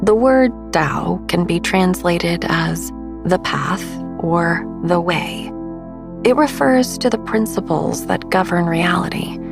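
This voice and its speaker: A woman podcast host narrating a sleep meditation in a soft, breathy, soothing and gentle manner. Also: on the low side, animated, slow, music in the background. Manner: soothing, hushed, gentle delivery.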